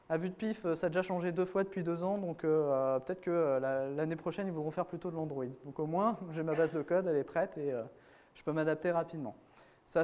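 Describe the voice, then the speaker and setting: A man's voice: fairly high pitch, a little mellow. Casual man giving a tech talk. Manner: casual, lots of fillers and restarts. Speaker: conference speaker. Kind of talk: technical conference talk. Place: conference room with audience.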